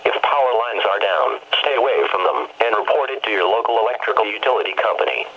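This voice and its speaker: A man's voice: fairly low pitch, very animated, fast talker, slightly rough, dull audio. A synthetic American man's voice reads a formal, impersonal scripted weather bulletin as a weather radio announcer. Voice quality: synthetic text-to-speech voice. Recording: weather radio broadcast sound.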